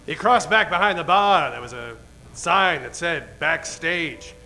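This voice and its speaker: The male storyteller of an old-time noir radio spoof. His voice is bright and slightly high, setting each scene in narrative style. Faint music plays underneath. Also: lively intonation, measured pace, exaggerated voice, poor audio. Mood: wry storytelling.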